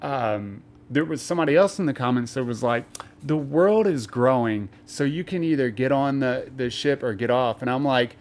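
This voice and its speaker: A man's voice: medium pitch, moderate pace, darkish tone. A young man co-hosting a podcast in casual banter, telling rambling stories full of swearing and filler words. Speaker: podcast co-host.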